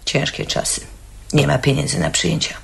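A woman's voice: deep voice, flat delivery, normal speed, gravelly. An actress playing a stingy, stern middle-aged bourgeois mother in a radio play, scolding and reproachful. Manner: stern, reproachful, self-righteous. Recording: echoey room, noisy old radio recording.

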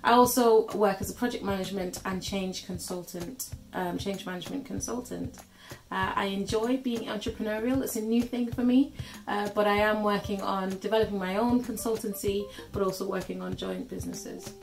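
A woman in her thirties from Germany welcomes viewers from the sofa at home, friendly and a little hesitant, with a few fillers. Her voice is mid-pitched, and soft music plays faintly behind her. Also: fairly even intonation, moderate pace, vocal fry, low-quality recording. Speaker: channel co-host. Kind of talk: scripted channel introduction. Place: living room, on a sofa. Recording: light music underneath. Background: faint background music.

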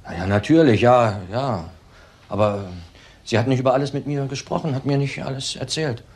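An actor playing an agitated, evasive husband in his thirties in a crime drama, speaking haltingly and repeating words. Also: mid-range voice, a little mellow, natural voice. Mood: evasive, subdued. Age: 30s. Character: young widower husband, agitated, evasive under questioning. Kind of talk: German crime drama acting.

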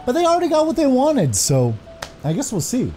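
A man's voice: very high voice, huge pitch swings, a little fast, warm tone. A man around his thirties giving casual, musing commentary as he plays a video game. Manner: laid-back, musing. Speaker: gaming host. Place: indoor room at home. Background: game music.

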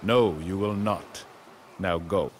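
A man's voice: sits low, slow pace, very noisy. An actor playing a proud, arrogant conquering Greek king in a video game, declaiming pompously over music. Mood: commanding. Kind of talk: dramatic video game cutscene acting.

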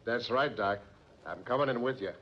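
An actor playing a blunt, slangy, suspicious police sergeant in 1940s radio drama style. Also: male voice, mid-range voice, moderate pace, background noise, close to an everyday voice. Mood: gruffly watchful. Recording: muffled vintage 1940s radio recording.

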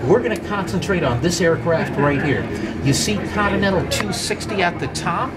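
A man in his sixties giving an interactive guided demonstration to visitors, speaking emphatically in short phrases with pauses and questions. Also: high voice, animated, a loud crowd. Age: 60s. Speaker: museum docent. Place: indoor museum exhibit. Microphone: visitor's handheld camera a short distance away.

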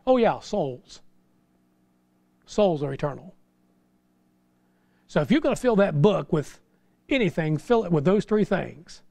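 Man, American accent, middle-aged, high-pitched voice, informal sermon, animated folksy exhortation, dry sound. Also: unhurried, gravelly, long pauses, no room sound. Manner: folksy, exhortative, with rhetorical questions. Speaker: pastor preaching. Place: small church sanctuary, indoors.

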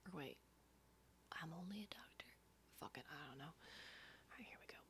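Casting: low whispering female survivor played by an actress, brash and sardonic, foul-mouthed, for ASMR horror roleplay. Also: expressive, moderate pace, a bit bright. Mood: wry, focused. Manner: sweary, sardonic, casual rambling.

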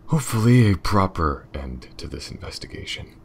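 A man playing a gruff, wary, sardonic detective in an audio roleplay, gravelly and halting in his delivery. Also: low-pitched, very animated, slight background noise, heavily acted. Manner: halting, muttering.

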